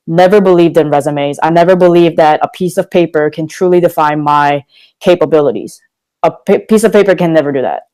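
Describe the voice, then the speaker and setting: Young man, smooth voice. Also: a little high, low-quality recording. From Korea. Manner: candid, energetic, slangy. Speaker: guest, motivational speaker. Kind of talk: casual podcast interview.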